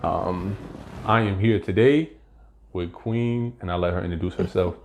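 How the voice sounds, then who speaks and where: A man hosting a casual interview show, upbeat and quick with hype shout-outs. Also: low voice, a little hiss or hum. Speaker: host.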